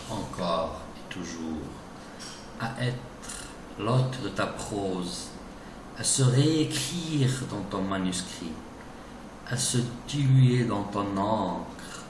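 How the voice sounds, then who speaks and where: A man giving a dramatic, declamatory recitation of romantic poetry in deliberate phrases. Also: low-pitched. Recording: distant, echoey, noisy recording.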